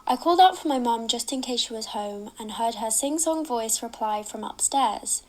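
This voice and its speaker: A woman narrating a scary personal story in a measured, suspenseful manner. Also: fairly high pitch, lively intonation, a little mellow.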